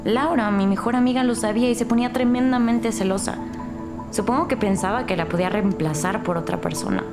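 A woman's voice: on the high side, brisk, natural voice. A woman playing a popular teenage schoolgirl, a suspect confessing in an audio crime drama, in a subdued way with music behind her. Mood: guarded confession. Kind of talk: scripted audio drama dialogue.

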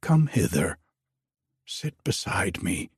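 Man acting a slow, husky, anguished young lover. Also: sits low, big pitch swings, no room sound, full character voice. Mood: haunted, confiding. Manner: archaic, tremulous, imploring. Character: anguished young male lover, fearful and pleading.

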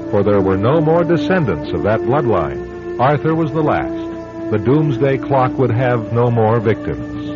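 A man narrating and hosting a scripted radio mystery in a dramatic, deliberate manner. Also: medium pitch. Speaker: host, narrator and producer. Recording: dull radio recording, loud music behind. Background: loud music.

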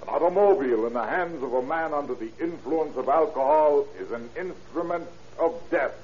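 An actor playing a grave, severe judge, solemn and declamatory in 1930s radio drama style. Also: male voice, fairly high pitch, moderate pace, a little mellow, a little hiss or hum, lightly acted. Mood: severe, condemning. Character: stern, condemning male judge, grave and severe. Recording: muffled vintage radio recording.

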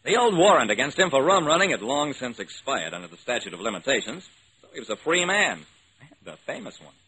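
A man's voice: mid-range voice, big pitch swings, brisk, sharp tone. An actor playing a wry, level-headed investigator, narrating his case in a clipped, matter-of-fact way in an old-time radio drama. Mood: summing up. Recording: noisy old radio recording.

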